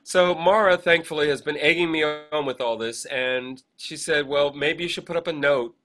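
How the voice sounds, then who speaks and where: Male co-host, fairly high voice with a bright, sharp edge, chatty storyteller who acts out quotes. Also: normal speed. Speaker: podcast co-host. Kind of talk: casual anecdotal chat.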